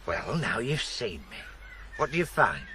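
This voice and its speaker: A man's voice: medium pitch, very animated, unhurried, sharp tone. A man acting a weary, bitter middle-aged father in a radio drama, brooding and defiant. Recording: faintly noisy old radio broadcast.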